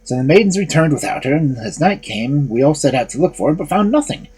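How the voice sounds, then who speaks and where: A man's voice: a little high, big pitch swings, quick pace, a little mellow, breathy edge, voice pushed up, full character voice. A man voicing the hero's insistent kinsfolk in a fairy tale as they explain and confess.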